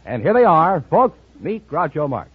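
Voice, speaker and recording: Old-time american radio announcer guy, high-ish lively voice, polished but muffled. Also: normal speed, breathy edge, dry recording. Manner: polished, presentational delivery. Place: radio studio. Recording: muffled vintage radio broadcast.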